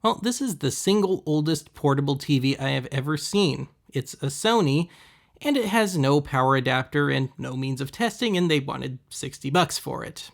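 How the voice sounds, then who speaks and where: A man hosting a web series, giving casual, deadpan, wry voice-over commentary on thrift finds. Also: on the high side, moderate pace, vocal fry. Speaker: host of a web video series.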